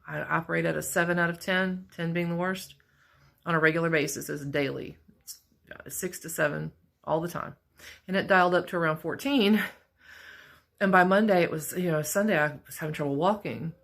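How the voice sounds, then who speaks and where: Middle-aged woman vlogger, raspy and gravelly, lowish voice, candid self-deprecating ramble. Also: a bit bright. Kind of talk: casual personal vlog. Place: office at work.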